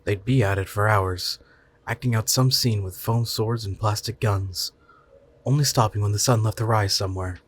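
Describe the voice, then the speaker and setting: A man's voice: on the low side, moderate pace, a little hiss or hum. A man narrating a scripted short story in the first person.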